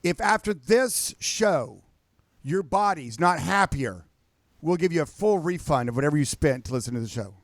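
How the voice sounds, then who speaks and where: A man's voice: a little high, lively intonation, moderate pace, no room sound, studio quality. A man co-hosting a podcast with casual, mock-solemn joking banter. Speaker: podcast co-host.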